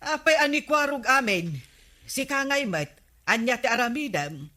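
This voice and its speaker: A woman with an Ilocano accent playing a reproachful, no-nonsense village woman in a radio comedy drama, questioning in a deliberate way. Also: on the high side, expressive, pushed and bright.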